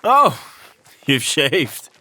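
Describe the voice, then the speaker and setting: An actor playing a brash, sardonic, hard-drinking warrior in an audio drama, blunt, boisterous and sweary. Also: male voice, a little high, big pitch swings, extremely slow, pushed and bright, a little hiss or hum, heavily acted. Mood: teasing, brash.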